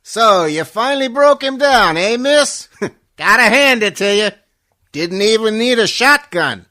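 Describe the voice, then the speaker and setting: Man acting a slow, very high-voiced wry jailer. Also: expressive, bright, forceful tone, exaggerated voice. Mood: amused. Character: unhurried, wry jailer, a policeman. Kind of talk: 1940s radio comedy acting.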